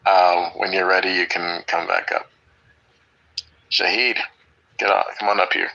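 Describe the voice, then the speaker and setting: A man with a deep voice teaching an online English class, upbeat and teasing with his students. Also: level tone, unhurried, vocal fry, sharp tone, highs cut off.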